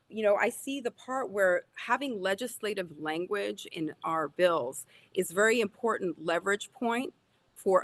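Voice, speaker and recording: A woman's voice: lowish voice, fairly bright tone. Woman activist, earnest and firm, webinar panel voice. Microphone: video call.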